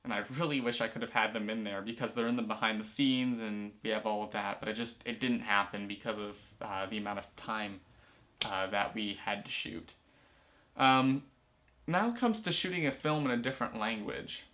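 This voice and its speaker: A man's voice: fairly low pitch, normal speed, fairly bright tone. A teenage boy talking casually to camera in a small room, rambling with lots of fillers and restarts. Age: teens. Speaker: student filmmaker and vlogger. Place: small room, indoors, in a corner.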